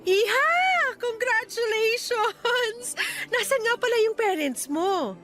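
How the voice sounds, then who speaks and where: A woman playing a doting Filipino mother in a radio drama, chatty and gushing with admiration as she gently compares her child. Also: very high voice, takes it slowly, loud music behind the voice.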